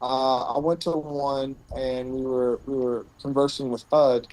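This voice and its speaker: A man's voice: mid-range voice, level tone, measured pace, a little mellow, poor audio. A man in his late thirties who runs a rescue mission, talking earnestly and thinking aloud in a casual conversation outdoors. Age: 30s. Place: outdoors on a bench.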